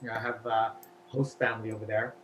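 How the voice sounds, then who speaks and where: A man's voice: lowish voice, little pitch movement, quick pace, fairly bright tone, a little hiss or hum, poor audio. A man whose first language is Cantonese, chatting as an interview guest and explaining at length with many fillers. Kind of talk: casual interview talk.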